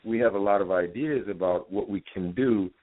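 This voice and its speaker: A man with a very low voice calls in to a discussion show. He speaks formally and with care, pausing over his phrases. The phone line is narrow and muffled. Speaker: caller, university professor. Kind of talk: earnest live call-in discussion. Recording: dull narrow-band phone call.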